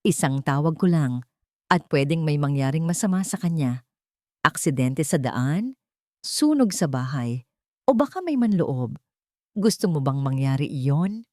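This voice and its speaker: A woman's voice: low voice, sing-song, dark tone, no echo, clean studio sound. Woman acting a cold, haughty Filipino matriarch villain. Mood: quiet menace. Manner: haughty, deliberate, threatening. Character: cold, menacing rich matriarch villain, contemptuous and controlling.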